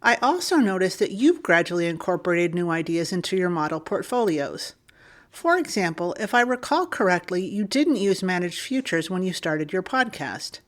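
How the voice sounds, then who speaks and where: A woman co-hosting a podcast, reading a listener's email aloud in a steady, clear manner. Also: fairly low pitch, moderate pace.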